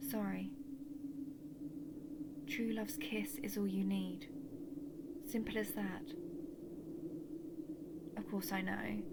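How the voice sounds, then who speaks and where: Loud ambient noise surrounds a lovesick villainous sorceress, acted by a woman in an intimate fantasy audio drama. Her voice is breathy and often half-whispered, with a creaky edge. She speaks softly and deliberately in a narrow, even melody, wistful and possessive. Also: medium pitch, lightly acted. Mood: calm, regretful. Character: lovesick former-villain sorceress, manipulative, faintly remorseful. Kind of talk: intimate scripted audio roleplay monologue. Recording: noisy, with loud ambient sound behind.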